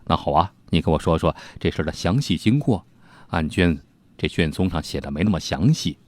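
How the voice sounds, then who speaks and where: A man storyteller voicing a curious, authoritative magistrate of imperial China, measured and official. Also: low voice, expressive, voice pushed down.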